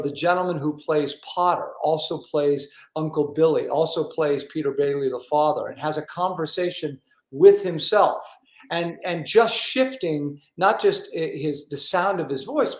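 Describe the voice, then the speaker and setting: Effusive man hosting, in a roomy echo. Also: a little high. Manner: effusive, emphatic. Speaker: talk show host. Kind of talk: enthusiastic interview chat. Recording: roomy, echoey sound.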